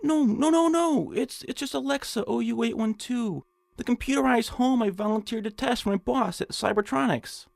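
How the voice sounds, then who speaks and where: A man's voice: very high voice, normal speed, vocal fry. A man playing a timid, put-upon nebbish in a comic radio drama, whining, stammering and apologetic. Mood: flustered pleading.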